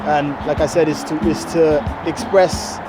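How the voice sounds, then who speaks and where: A man's voice: fairly high pitch, flat delivery, measured pace, rough recording. A man talking about his music and poetry in an interview, thoughtful, often asking "do you know what I mean". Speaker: musician and poet. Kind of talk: relaxed conversational interview.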